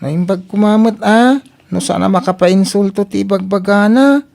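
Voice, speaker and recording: A woman's voice: medium pitch, moderate pace, vocal fry, a little mellow, close to an everyday voice. A woman with an Ilocano Filipino accent playing a meek, helpful young relative in a comedy radio drama, deferential and a little hesitant. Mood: hesitant, sheepish.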